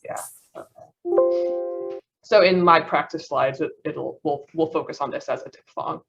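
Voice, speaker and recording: A woman's voice: low-pitched, measured pace, vocal fry, lo-fi sound, loud music behind the voice. A woman student presenting a phonetics lesson over a video call, hesitant, often restarting and laughing at herself. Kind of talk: class presentation.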